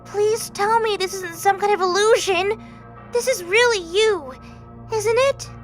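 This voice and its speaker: Female actor for youthful little-brother god: very soft, high, timid, innocent, earnest; audio drama over music. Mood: tender joy. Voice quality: soft, delicate, feminine-sounding. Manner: timid, halting, apologetic, earnest. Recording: background music bed.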